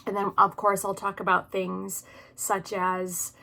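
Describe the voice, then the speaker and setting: A woman's voice: mid-range voice, completely flat delivery, measured pace, creaky, sharp tone. A woman in her early forties hosting an informal advice video, talking earnestly and with emphasis from personal experience. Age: early 40s. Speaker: video channel host.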